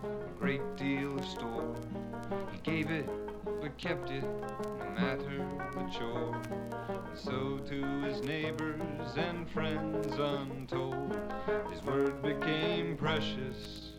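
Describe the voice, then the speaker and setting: A woman's voice: extremely low pitch, slow, low-quality recording. A woman delivering rhyming verse in a country spoken-word style. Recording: loud country music behind.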